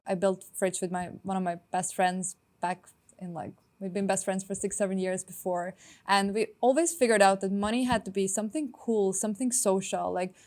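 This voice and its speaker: A young woman from Estonia, a startup founder answering interview questions in a quick, upbeat way with many filler words. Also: mid-range voice, darkish tone.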